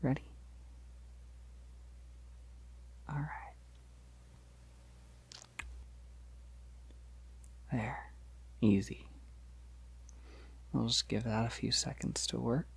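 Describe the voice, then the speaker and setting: A man playing a polite, gentle vampire in an ASMR roleplay, speaking softly and hesitantly, often breathy and near a whisper. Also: mid-range voice, measured pace, a little hiss or hum. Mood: soothing, careful. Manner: hesitant, reassuring, softly chuckling. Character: polite, awkward, gentle young male vampire. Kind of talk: ASMR roleplay, soft-spoken and intimate.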